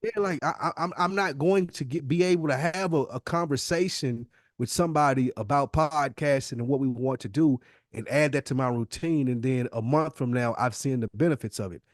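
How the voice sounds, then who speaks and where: A man's voice: mid-range voice, fairly quick, no echo, studio quality. A middle-aged American man talking casually and motivationally as a podcast co-host, emphatic and repeating phrases to drive points home.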